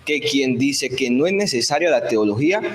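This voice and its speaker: A man's voice: medium pitch, animated, moderate pace, slight background noise. A theology student gives a prepared, earnest testimony about his studies.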